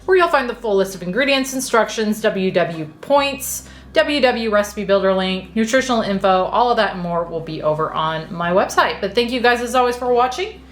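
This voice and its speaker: A woman hosting a home baking video, explaining each step in a chatty, relaxed way. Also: mid-range voice, normal speed, roomy sound. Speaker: cooking channel host. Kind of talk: casual baking demonstration. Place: home kitchen.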